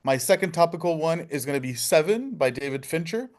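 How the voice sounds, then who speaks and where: Male film director in interview, higher-pitched voice, emphatic, casual, slangy delivery. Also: fairly quick. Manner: emphatic, animated slangy English with "like" often.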